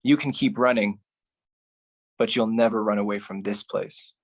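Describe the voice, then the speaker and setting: A man performing his own writing as a spoken-word solo artist, speaking deliberately with pauses. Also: on the low side. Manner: slow, deliberate delivery with pauses.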